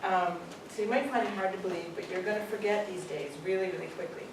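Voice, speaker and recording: A middle-aged woman from Canada with a Canadian accent gives a joking graduation speech to pupils as a parent, often pausing with "um". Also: mid-range voice. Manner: warm, joking, with frequent ums. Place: school hall with pupils. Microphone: distant camera. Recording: echoey hall from far off, room noise.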